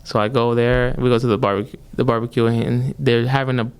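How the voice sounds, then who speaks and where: A young adult man, an accordion player, tells stories in a relaxed, rambling way, full of "um" and "you know." His voice is smooth and resonant, and his pitch sits in the middle range. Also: brisk. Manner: relaxed, rambling, with many fillers and restarts. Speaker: musician guest, accordionist. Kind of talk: casual storytelling interview.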